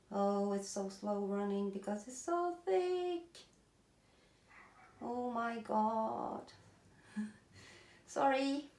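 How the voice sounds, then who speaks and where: A woman with a Norwegian accent explaining a craft project in casual chat, chatty and self-deprecating, pausing to search for English words. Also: mid-range voice, echoey room. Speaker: craft video host. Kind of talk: casual tutorial talk while working.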